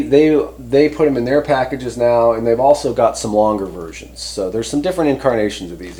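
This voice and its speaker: A man presenting a product review in casual, unscripted talk, rambling conversationally and often pausing to rephrase himself. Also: fairly low pitch, mellow, a little hiss or hum. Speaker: host reviewing CB radio antennas.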